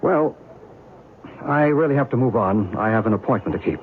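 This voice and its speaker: An actor playing a knowing, enigmatic American narrator and believer in an old-time radio drama, measured and assured. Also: male voice, medium pitch, lively intonation, close to an everyday voice. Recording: tinny, noisy old radio recording.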